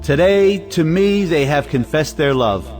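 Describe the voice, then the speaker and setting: Pompous, high-pitched family patriarch, a man acting. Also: expressive, a little slow, darkish tone, soft background music. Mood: proud, proclaiming. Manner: pompous, declamatory. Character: domineering, excitable male head of a well-to-do family. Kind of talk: theatrical audio play acting.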